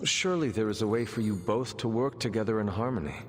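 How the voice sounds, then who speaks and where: An actor playing a calm resurrected warrior in a video game, speaking in a measured, formal, earnest way. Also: male voice, lowish voice, long reverb tail, background noise, natural voice. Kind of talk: video game cutscene acting.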